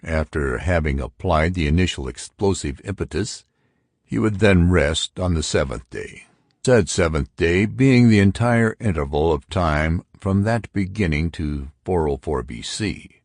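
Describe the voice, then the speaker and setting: A man's voice: low-pitched, animated, unhurried, darkish tone, no echo, clean studio sound, heavily acted. A man voicing an argumentative intellectual who expounds theories to friends in an audiobook. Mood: earnest, arguing. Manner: emphatic, pedantic. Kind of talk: audiobook character dialogue.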